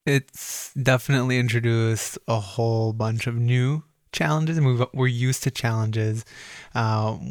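Flat-voiced man answering interview questions. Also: mid-range voice, no room sound, clean studio sound. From Egypt, raised in Minnesota. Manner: flat, steady, uses many fillers. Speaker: guest, business owner.

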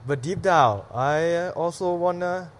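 A man's voice: fairly high pitch, a little slow. A man with a Thai accent, a comedian telling a loose, rambling story on a casual podcast.

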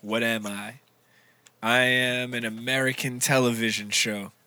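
A man's voice: medium pitch, steady tone, unhurried, bright, forceful tone. A man casually reading trivia clues aloud during a drinking game with friends, laughing often. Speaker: quiz reader in a drinking game.

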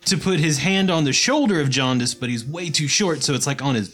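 A man's voice: on the high side, animated, fairly quick, a bit bright, slight background noise. A man narrating a tabletop game as game master, chatty and laughing easily.

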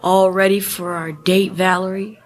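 A woman's voice: medium pitch, level tone, unhurried, close to an everyday voice. A sneering schoolboy bully acted by a woman in an amateur radio play. He is stubborn and mocking, dragging out his words in a mid-range voice. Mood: taunting.

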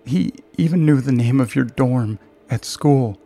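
A man's voice: medium pitch, a little slow, warm tone. A man voicing a frightened, skittish mother in an audiobook, breathless and stammering as her words tumble out. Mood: panicked, breathless. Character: frightened, frantic mother of a college student.

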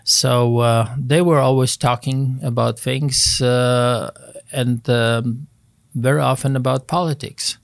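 A man of about seventy from Poland, with a Polish accent, speaking as an interview guest in a measured way and searching for his words. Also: medium pitch, fairly even intonation, creaky, dry recording, clean studio sound. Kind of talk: interview, recounting family history.

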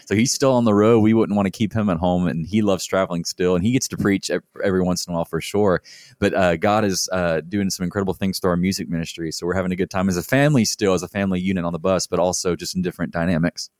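A man's voice: sits low, fairly even intonation, fast talker, a little mellow, no echo, clean studio sound. A man in his twenties with a Texan accent, telling family stories casually and with good humor as an interview guest. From Texas, United States. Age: 20s. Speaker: interview guest, gospel singer.

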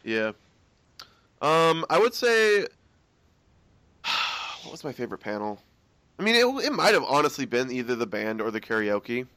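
A young man in casual chat, excited and rambling, swearing freely. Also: medium pitch, moderate pace, long pauses, no echo.

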